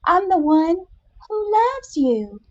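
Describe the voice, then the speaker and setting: A woman's voice: very high voice, big pitch swings, slow, dull audio, exaggerated voice. A woman voicing a coy, loving young Southern belle in a dramatic story reading, sweet and playful with a Southern US accent. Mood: coy, teasing.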